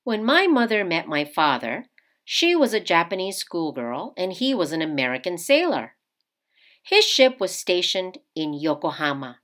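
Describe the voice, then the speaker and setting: Woman, children's read-aloud narrator, slow pace, lively intonation, deliberate phrasing. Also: fairly low pitch. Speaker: storyteller reading a picture book aloud.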